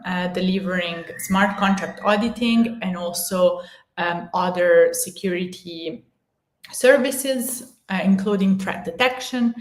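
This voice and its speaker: A woman hosting an interview, speaking in a measured way with ums between phrases. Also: mid-range voice, echoey room. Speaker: host. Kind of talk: hosting a live audio interview.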